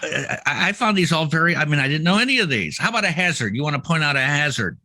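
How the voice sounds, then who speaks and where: A man with a bright, forward voice recorded close and dry. He co-hosts a podcast in a loose, joking style, often stopping and repeating words as he works through an explanation. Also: on the high side, sing-song, fast, dry recording. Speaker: podcast co-host. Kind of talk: casual comedic banter.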